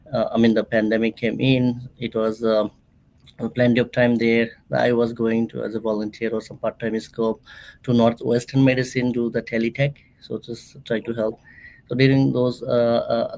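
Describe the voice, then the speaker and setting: Gravelly, flat-voiced man. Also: on the low side, low-quality recording. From Bangladesh. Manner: halting, many fillers and restarts. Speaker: nonprofit founder, interview guest. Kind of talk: conversational interview storytelling.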